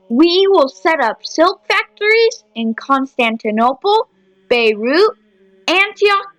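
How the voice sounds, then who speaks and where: Woman playing a slow, high-voiced stately emperor. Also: a bit bright, dry recording, heavily acted, low-quality recording. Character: Byzantine emperor, commanding male ruler, pleased. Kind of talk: amateur audio play acting.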